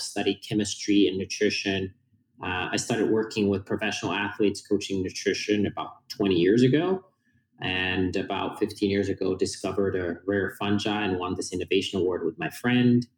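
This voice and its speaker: A man's voice: fairly low pitch, reverberant, low-quality recording. A man in his forties with a Finnish accent, a guest explaining his background earnestly with frequent fillers. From Finland. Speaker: guest, company founder. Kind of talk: casual interview talk.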